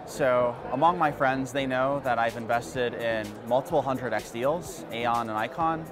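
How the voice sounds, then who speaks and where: A man's voice: mid-range voice, unhurried, over music. A man being interviewed as an investor, answering casually with many fillers and a boastful tone. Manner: hesitant, frequent fillers, boastful.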